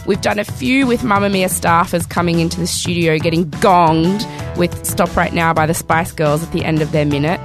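A woman with an Australian accent hosting a casual podcast chat, her voice hoarse and croaky from a cold, chatty and enthusiastic. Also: fairly low pitch, moderate pace, few pauses, music in the background. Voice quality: croaky, voice cracking. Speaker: podcast host. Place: podcast studio.

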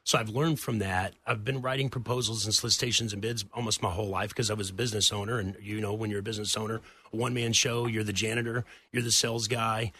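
A man's voice: on the low side, steady tone, quick pace, hardly any pauses, pushed and bright, breathy edge, no echo. A middle-aged man from Texas, a guest on a radio talk show, affably explaining at length.

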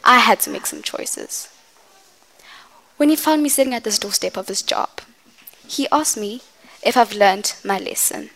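A woman's voice: medium pitch, animated, measured pace, creaky, pauses often, pushed and bright, slight background noise, heavily acted. A young woman performing a dramatic monologue as a wronged, defiant mother venting to her grown children. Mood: hurt, defiant.

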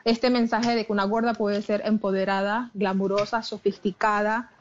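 A woman's voice: medium pitch, flat delivery, moderate pace, highs cut off, a little hiss or hum. A woman from Colombia with a Caribbean coastal accent mixed with English, speaking as an interview guest in a passionate, emphatic way with frequent restarts. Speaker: plus-size model, guest. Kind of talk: interview conversation.

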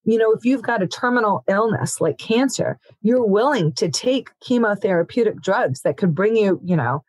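Woman physician guest, steady explanatory delivery, mid-pitched, dry close sound. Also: normal speed, dry recording, poor audio. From born in Ethiopia, raised in Africa. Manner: explanatory, steady, frequent "you know". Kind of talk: expert explaining in an interview.

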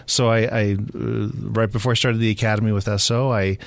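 Male guest, middle-aged, American accent, native Spanish speaker, lowish register, dry sound, casual halting delivery. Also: little pitch movement, creaky, dry recording. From Southern California, United States. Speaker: police sergeant, interview guest. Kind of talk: casual conversational interview.

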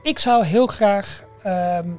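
High-voiced man chatting over music. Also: takes it slowly, a little mellow. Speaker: podcast co-host. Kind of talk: casual chat. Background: background music.

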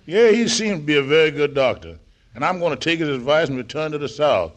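An actor playing a genteel old uncle on radio. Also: male voice, on the high side, expressive, quick pace, lightly acted. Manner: polite, inquisitive, dignified. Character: proper, genteel older Southern uncle. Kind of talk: 1940s radio comedy acting.

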